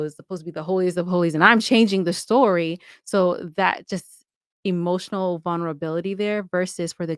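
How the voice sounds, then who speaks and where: A woman's voice: fairly low pitch, smooth, no echo, clean studio sound. A woman podcaster speaking conversationally as an interview guest, giving advice in an emphatic, opinionated way.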